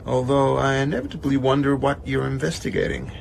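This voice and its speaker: A man's voice: medium pitch, lively intonation, fairly quick, creaky, slight background noise. An actor playing an arch, pedantic male literary agent, pompous, wordy and evasive, in a radio drama.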